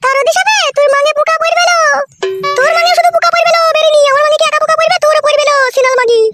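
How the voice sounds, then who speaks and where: A performer voicing a quarrelsome young village woman in a comedy cartoon, shrill, scolding and cursing in a foul-mouthed fury. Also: steady tone, very fast. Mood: furious. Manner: scolding, cursing, wailing taunts. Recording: music behind the voice.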